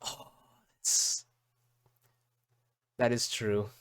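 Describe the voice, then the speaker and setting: A man doing casual live reaction commentary as a streamer, halting and repeating words as he thinks aloud. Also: a bit bright, no room sound, clean studio sound. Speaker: streamer reacting to game videos.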